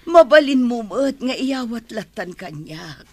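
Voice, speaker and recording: An actress playing a meek, overworked housemaid who speaks softly and tearfully, with an Ilocano accent. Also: female voice, a little high, very animated, unhurried, no echo. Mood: hurt. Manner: soft, tearful, submissive.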